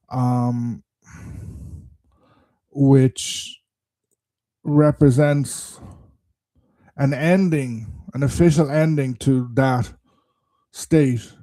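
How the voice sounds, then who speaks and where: A man's voice: mid-range voice, warm tone, no echo. A man giving an informal live history talk, explaining conversationally and thinking aloud, his voice strained by a coming cold. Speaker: history presenter.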